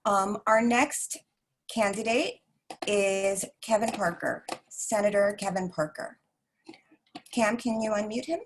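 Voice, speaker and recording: A woman's voice: mid-range voice, slow, slight background noise, poor audio. A woman acting as forum moderator, giving polite procedural announcements.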